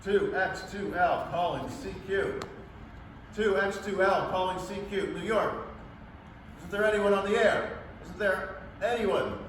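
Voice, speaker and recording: Man as high-voiced anxious radio operator. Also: measured pace, pauses often. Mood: lonely, anxious. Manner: repetitive, formal call-sign delivery. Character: lone amateur radio operator calling out, anxious, persistent. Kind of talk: 1930s radio drama staged reading. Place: public library staged reading before audience. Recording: echoey hall, distant mic, faint noise.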